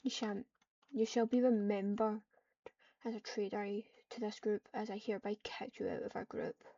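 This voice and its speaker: A woman voicing a scheming, scornful evil cat in an online game roleplay, with measured, theatrical, formal delivery. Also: medium pitch, steady tone, creaky, mellow. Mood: cold, commanding. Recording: dull, distant home microphone.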